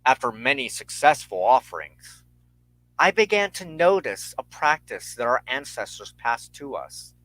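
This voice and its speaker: A man delivers spiritual teaching slowly and ceremoniously, like a formal sermon. His voice is bright and firm, recorded dry and close, and his phrasing is measured and solemn. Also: medium pitch, no echo, poor audio. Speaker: host of a weekly spiritual talk show.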